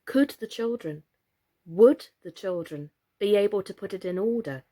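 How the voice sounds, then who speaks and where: A woman reading a children's story aloud as scripted, expressive narration. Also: medium pitch, normal speed. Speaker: storyteller, reader.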